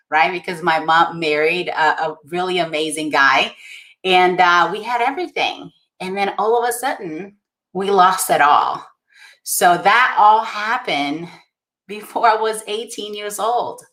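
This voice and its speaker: A woman's voice: on the low side, bright, forceful tone. A woman from Brazil with a Brazilian Portuguese accent, a native Portuguese speaker and guest author. She tells her life story earnestly, often asks "right?" and repeats phrases for emphasis. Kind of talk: personal story on a talk show.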